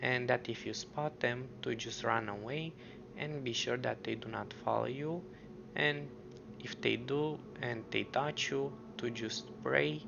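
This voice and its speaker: A man narrating an explanatory video commentary, mostly scripted with casual asides, on a muffled, noisy lo-fi recording. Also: lowish voice. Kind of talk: explanatory commentary on a horror web series. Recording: muffled lo-fi audio with clear background noise.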